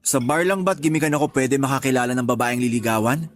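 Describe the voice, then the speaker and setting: A man's voice: medium pitch, steady tone, fast talker, lightly acted. An actor playing a stubborn, principled bachelor in his thirties with a Filipino accent, answering defensively in a radio drama. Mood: annoyed. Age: 30s. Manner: defensive, terse, irritated replies. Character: stubborn, principled 31-year-old bachelor, homebody son.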